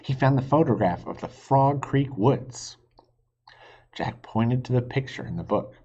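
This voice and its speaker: A man reading a children's chapter book aloud, talking gently to young listeners between passages, on a dull lo-fi recording. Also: medium pitch, expressive, measured pace, breathy edge. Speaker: reader for children.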